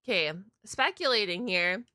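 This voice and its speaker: A woman gives casual, unscripted reaction commentary in a bright, forward voice. She breaks into short exclamations, and the recording is dry and close. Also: mid-range voice, animated, moderate pace, no room sound. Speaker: reaction video host.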